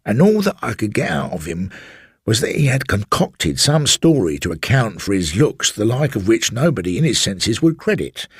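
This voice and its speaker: A man's voice: lowish voice, breathy edge, no room sound, studio quality, exaggerated voice. A man voicing a garrulous old railway porter who rambles confidingly and keeps addressing his listener as sir. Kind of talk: audiobook storytelling.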